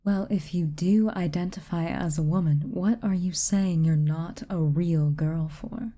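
A woman voicing a haughty, reclusive tsundere witch in a soft ASMR roleplay, aloof and commanding, at times dropping near a whisper. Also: fairly low pitch, a little slow. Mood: guarded, questioning. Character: haughty, reclusive tsundere witch, stern but secretly kind.

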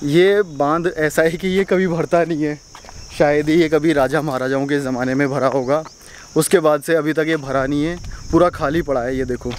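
A young man vlogging outdoors in casual chat, excitable and often laughing, filmed on a handheld action camera. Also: on the high side, normal speed, slight background noise. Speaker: moto vlogger. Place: outdoors on a windy hillside by a dam. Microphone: action camera held at arm's length.

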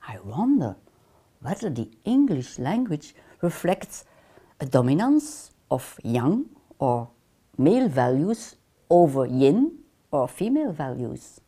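An older woman with a Dutch accent, a native Dutch speaker, giving a measured, deliberate online course lecture while seated in a small room. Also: low voice, sing-song, mellow, breathy edge. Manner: measured, deliberate, gestures with her hands. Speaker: counseling lecturer. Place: small lamp-lit room, seated in armchair.

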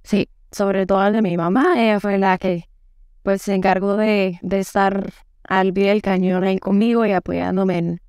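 A woman. She answers interview questions in a calm, friendly storytelling manner. Her voice is smooth and clear, and the close lapel mic keeps it dry. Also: medium pitch, moderate pace, no echo. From Guatemala. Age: 30s. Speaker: guest, figure skating coach. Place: studio set.

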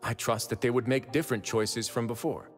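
An actor playing a composed young prison administrator in a video game, speaking in a measured, assured, wry way. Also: male voice, mid-range voice, fairly even intonation, close to an everyday voice. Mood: composed, probing. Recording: long reverb, music underneath.

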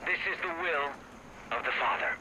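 An actor playing a menacing male cult preacher heard over a loudspeaker, slow and solemn. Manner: slow, solemn, threatening sermon. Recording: distant broadcast under loud game noise.

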